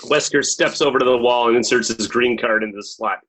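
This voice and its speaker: A man narrates stage directions for a script read. The voice is mid-pitched with a rough, husky edge. The delivery is plain scripted narration. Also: fairly quick, a bit bright.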